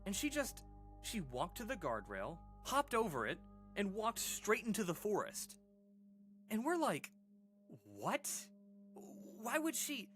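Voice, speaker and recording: A man acting as an uneasy storyteller in an audio drama, recounting an eerie childhood memory in a halting, informal, conversational way. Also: high voice, very animated, fairly bright tone, faint music behind, heavily acted. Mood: tense, disturbed. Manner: hesitant, halting, informal, with pauses and sighs.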